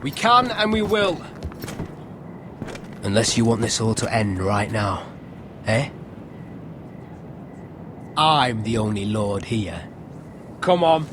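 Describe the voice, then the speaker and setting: An arrogant young lord from a video game drama. The voice is high and domineering, taunting and cursing as it gives orders. Clear outdoor rumble sits behind it. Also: male voice, big pitch swings, measured pace. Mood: smug, threatening. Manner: foul-mouthed, taunting, domineering. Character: arrogant, bullying young male lord of occupying soldiers.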